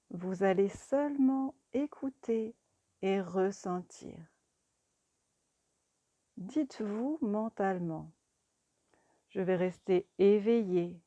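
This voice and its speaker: A woman guiding a yoga relaxation meditation in a calm, soothing, deliberate manner. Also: mid-range voice, no room sound, muffled recording. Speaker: yoga nidra teacher.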